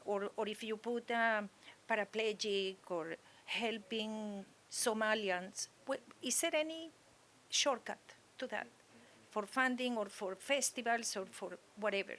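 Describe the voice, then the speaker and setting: A woman in the audience asking a question, pausing and searching for her words. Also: medium pitch, a bit bright, a little hiss or hum.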